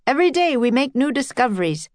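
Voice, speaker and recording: An eager gentleman scientist, acted by a woman narrator in a children's storybook. He explains things earnestly and kindly, with a lifted pitch and a dry, close sound. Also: big pitch swings, fast talker, dry recording. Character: eager, friendly Victorian scientist man, proud explorer. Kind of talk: children's audiobook character voice.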